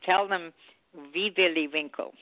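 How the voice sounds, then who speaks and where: A woman from Norway with no accent left, talking in a gracious, unhurried way as a guest. Her voice comes through a thin, tinny phone line, and it sounds dry and close with no room around it. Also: on the low side, steady tone, no echo. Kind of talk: casual call-in chat.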